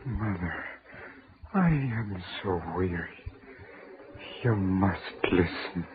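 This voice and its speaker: A weary drowned ghost, slow and low. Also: male voice, animated, exaggerated voice. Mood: mournful. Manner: slow, mournful. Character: drowned young man's ghost, weary and mournful. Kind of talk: old-time radio drama acting. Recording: tinny old radio broadcast with hiss.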